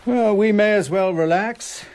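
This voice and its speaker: An actor playing a fussing, practical middle-aged husband and father in a radio drama, brisk and chiding. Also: sits high, darkish tone.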